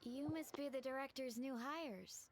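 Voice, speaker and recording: A woman voicing a formal, cautious funeral parlor staffer in an anime game, giving measured, cryptic warnings. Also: high voice, over loud music. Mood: cautious, ominous.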